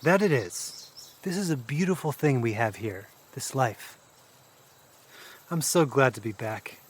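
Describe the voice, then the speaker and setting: A man acting a troubled, warm-hearted brother in an audio drama, halting and repeating words, prone to swearing. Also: medium pitch, animated, husky. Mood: wistful. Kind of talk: naturalistic audio drama dialogue.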